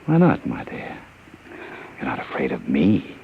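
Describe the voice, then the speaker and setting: An actor playing a smooth, menacing gangster who talks in a cold, mocking way in a 1950s radio drama. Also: male voice, extremely low pitch, wildly swooping pitch, measured pace, a little hiss or hum, exaggerated voice. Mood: seductive. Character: smooth menacing male gangster, middle-aged. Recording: muffled old radio broadcast.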